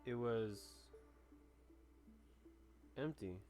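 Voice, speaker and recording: A man's voice: medium pitch, very slow. A man giving casual gameplay commentary in an informal, reactive way as he plays through a story game. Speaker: gamer playing through a story quest. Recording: game music behind the voice.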